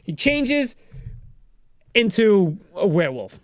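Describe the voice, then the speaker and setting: A man hosting a podcast, telling a story in a halting, animated, rambling comic recap. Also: sits high, no room sound, studio quality. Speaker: podcast host.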